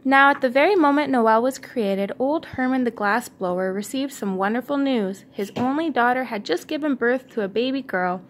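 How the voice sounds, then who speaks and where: Mom reading a kids book out loud, highish voice, encouraging, little bit of home noise. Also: female voice, moderate pace, few pauses. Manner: encouraging, asks the child questions. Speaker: mother reading to her young son. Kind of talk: storybook read-aloud. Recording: home recording with faint background noise.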